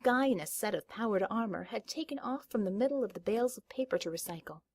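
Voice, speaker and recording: A woman reading a story aloud as scripted audiobook narration, with a slightly husky voice. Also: mid-range voice, lively intonation, quick pace, darkish tone.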